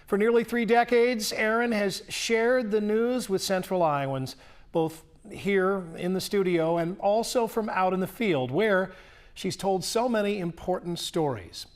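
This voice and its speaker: A man's voice: high voice, unhurried. A man anchoring a newscast with a polished, earnest delivery. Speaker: news anchor. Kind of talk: newscast introduction.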